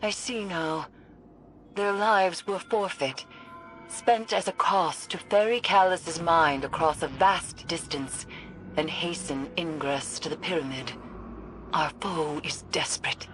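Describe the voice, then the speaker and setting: A woman's voice: lowish voice, slow, a bit bright, soft background music. A woman playing a grave, cryptic witch who counsels steadfastly in solemn, formal video game dialogue. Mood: grim, urgent.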